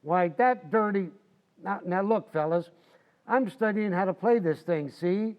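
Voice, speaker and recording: A man acts out a folksy, wisecracking husband in an old radio comedy. His voice sits high, cocky and stubborn. The stage recording is a bit muffled. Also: measured pace. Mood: indignant, pleading. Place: community playhouse stage, live recreation.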